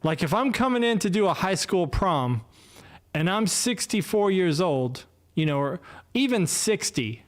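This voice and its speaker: A man in his fifties hosts a loose solo livestream, slow and unhurried, his voice sitting a little high for a man. He rambles, restarts his sentences and pokes fun at himself. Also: very animated. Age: 50s. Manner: rambling, self-deprecating, many fillers and restarts. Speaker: podcast host. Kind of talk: casual solo livestream chat. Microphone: desk mic, no headphones.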